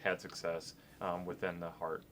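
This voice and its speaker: A man hosting a relaxed sit-down interview, talking casually with lots of fillers. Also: sits low, moderate pace, a little hiss or hum. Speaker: athletics media host.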